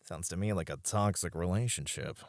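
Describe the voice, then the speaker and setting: A man playing a flirty, supportive club host in an ASMR roleplay, speaking in a gentle, reassuring way. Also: sits low, normal speed, no room sound, clean studio sound. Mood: earnest reassurance. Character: flirty, supportive male club host comforting his friends.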